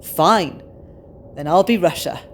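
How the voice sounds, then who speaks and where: A woman's voice: lowish voice, takes it slowly, a little hiss or hum. A woman playing a young British expectant mother in an audio drama, polite, earnest and outspoken. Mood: spirited.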